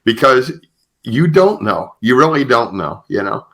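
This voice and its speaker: A man hosting a podcast, telling stories conversationally in a halting way with repeated phrases. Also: mid-range voice, very animated, fairly bright tone. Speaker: podcast host.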